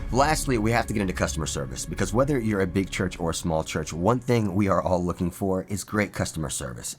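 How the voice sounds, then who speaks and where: A man hosting a product review video, presenting scripted narration to the viewer. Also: deep voice. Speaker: video host.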